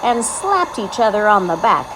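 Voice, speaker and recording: A woman reading a children's story aloud with expressive, scripted narration. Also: medium pitch, moderate pace, background noise.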